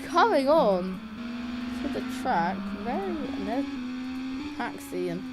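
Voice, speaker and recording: A woman commentating a live sim racing stream. She rambles quickly in casual chat and breaks into excited shouting at crashes. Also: fairly high pitch, over loud music. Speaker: livestream race commentator. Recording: steady background noise and hum.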